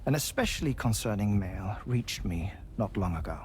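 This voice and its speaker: An actor playing an earnest, fussy middle-aged man in a video game cutscene, speaking with deliberate, formal, theatrical phrasing in a gravelly voice. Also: fairly low pitch, animated, noisy background, lightly acted. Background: music.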